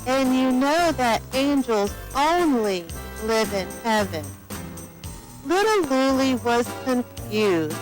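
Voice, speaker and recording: A woman reading scripted narration for a children's storybook in a simple, deliberate storytelling manner. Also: on the high side, poor audio.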